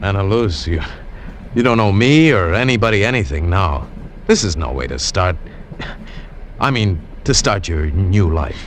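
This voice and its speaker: Excitable adventurer, American accent, very animated intonation, old-time radio acting, noisy vintage recording. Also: male voice, low-pitched, normal speed. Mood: tender, wistful. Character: earnest, excitable male adventurer narrating his own tale.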